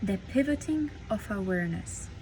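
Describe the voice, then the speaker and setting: A woman from Latvia reads a spiritual book aloud from a parked car at night, in a voice of middle pitch. She drifts into dreamy, emphatic asides between passages. A faint hum of traffic sits behind her. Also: expressive, normal speed, a little mellow, poor audio.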